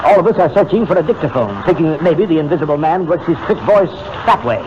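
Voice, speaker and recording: An actor playing a hot-headed, scornful campaigner, blunt and challenging, in 1940s radio style. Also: male voice, a little high, lively intonation, quick pace, natural voice. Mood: accusing. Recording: noisy, muffled old radio recording.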